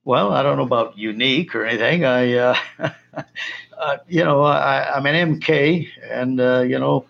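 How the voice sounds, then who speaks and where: A man from Colombia, a missionary guest, answering interview questions in a halting way with many fillers. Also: medium pitch, vocal fry, lo-fi sound.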